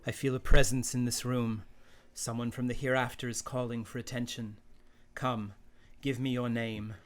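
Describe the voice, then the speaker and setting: A man's voice: mid-range voice, flat delivery, lightly acted. A man playing a solemn, theatrical fake medium in a radio play, with a grave, portentous manner. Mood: summoning. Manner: slow, grave, portentous incantation.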